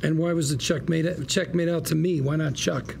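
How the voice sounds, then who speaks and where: Indignant lawyer, high creaky voice, sarcastic, theatrical, combative, slight room noise. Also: male voice, fairly even intonation, normal speed, a little mellow. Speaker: actor at a script table read. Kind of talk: acted script read at a table.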